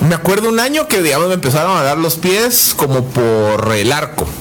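A man, telling stories with a high, lively voice. A faint hiss of noise sits under him. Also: fairly quick. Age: 30s. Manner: animated storyteller, frequent "ajá" backchannels. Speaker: co-host. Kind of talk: casual chat.

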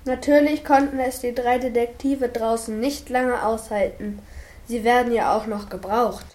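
Female narrator, fairly high voice, scripted amateur radio play storytelling. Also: fairly even intonation, moderate pace, a little mellow.

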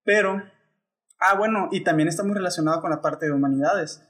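A man with a Mexican accent, co-hosting a casual podcast chat and explaining a term in a relaxed, rambling, joking way. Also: high voice, fairly quick. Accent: Mexican Spanish.

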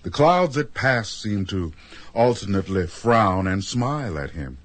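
A man with a deep, husky voice delivering scripted third-person narration for a radio drama. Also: big pitch swings, measured pace.

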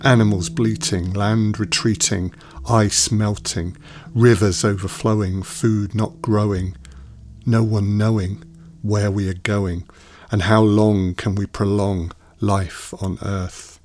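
Slow, low-voiced man reciting poetry. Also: flat delivery, a little mellow. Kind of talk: rhyming poetry reading.

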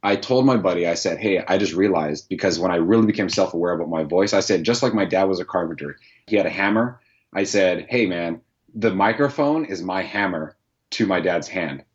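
A man with a very deep, low voice talks through his answers slowly and earnestly, as if working them out aloud. The sound is a little dull and lo-fi. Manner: reflective, earnest, thinks aloud. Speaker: podcast host, guest here. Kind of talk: casual interview answers.

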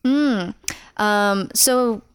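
A woman, a musician and photographer, talking in a casual conversation, reflective and lively when she quotes her own thoughts. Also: mid-range voice, extremely slow, clear, resonant tone, no room sound, studio quality.

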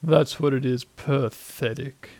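A man voicing a gloomy, self-pitying old grey donkey from a children's storybook, drawling slowly and sarcastically. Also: medium pitch, fairly even intonation, a little mellow, no room sound. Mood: dejected. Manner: gloomy, slow, drawn-out, sarcastic.

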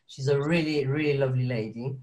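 A man's voice: medium pitch, steady tone, fairly quick, a little mellow. A young man with a French accent, a guest actor chatting in an interview, rambling with restarts and frequent laughs. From Belgium. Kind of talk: casual interview chat.

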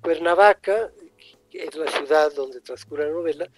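An older man raised in Italy with Italian as his first language, a writer reflecting slowly in an interview, often pausing and restarting. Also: fairly high pitch. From born in Egypt, raised in Italy. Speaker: writer guest. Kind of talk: thoughtful interview answers.

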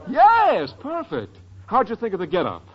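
An actor playing an eager, pushy magazine photographer in 1940s radio comedy, talking emphatically. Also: male voice, high-pitched, moderate pace, breathy edge, exaggerated voice. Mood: excited, approving. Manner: excitable, emphatic. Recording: dull, lo-fi old radio recording.

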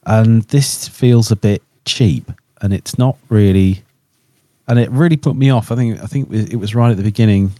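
A man with a fairly low, mellow voice chats as a podcast co-host. He talks in short, stop-start bursts, in a dry recording. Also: moderate pace, no echo. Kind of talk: casual chat.

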